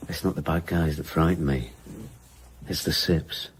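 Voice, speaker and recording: An actor playing a protective male partner at home in a radio drama, casual and gently joking. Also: a bass voice, steady tone, a little slow, a little hiss or hum. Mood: worried. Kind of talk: naturalistic radio drama acting.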